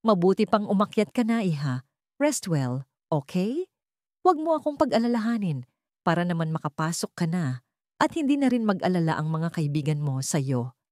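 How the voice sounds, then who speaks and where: A woman's voice: fairly low pitch, sing-song, normal speed, no echo, studio quality. A woman voicing a scheming, domineering stepmother in a dramatic audio storybook, with a scolding, insistent manner. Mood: feigned concern. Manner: scolding, accusatory, sharp-tongued. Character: scheming, domineering stepmother with false sweetness and venom.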